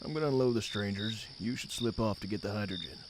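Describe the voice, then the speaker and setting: A man's voice: on the low side, quick pace, background noise, natural voice. A man playing an easygoing, slightly clueless dockworker in an audio drama, speaking in a casual, plain way. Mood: casual, matter-of-fact. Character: easygoing male dockworker, loyal but a bit clueless. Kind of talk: scripted audio drama acting.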